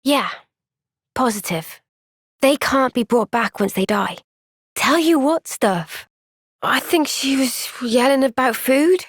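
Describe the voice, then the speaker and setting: An actress voicing a gentle, caring young female soldier in a game cutscene, speaking softly and earnestly. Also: sits high, animated, slow pace, long pauses, dry recording, studio quality, exaggerated voice. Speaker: game character. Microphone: close studio mic.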